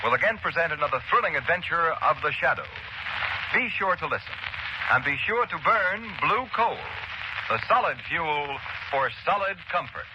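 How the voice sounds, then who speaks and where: Old timey american radio announcer guy, formal scripted, lively intonation, muffled noisy 30s recording. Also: on the high side, normal speed. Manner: formal, polished. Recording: dull, noisy 1930s radio broadcast.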